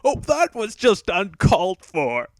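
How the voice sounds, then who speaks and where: A man's voice: high-pitched, huge pitch swings, measured pace, heavily acted. A man voicing a lovesick, hot-tempered villain who gets awkward, in an amateur cartoon parody dub. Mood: defensive, eager. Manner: awkward, stammering when caught out.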